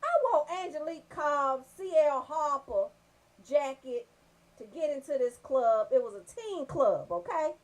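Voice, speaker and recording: A woman with a Southern US accent telling comedic stories as a radio host, brash, animated and freely swearing. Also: sits high, measured pace. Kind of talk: comedic storytelling on a casual talk show.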